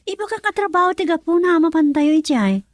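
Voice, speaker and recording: High, lively young Ilocano sweetheart. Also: female voice, fairly quick, dry recording, clean studio sound. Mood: tender, teasing. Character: young country woman, playful, tender, in love. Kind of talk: Ilocano radio drama acting.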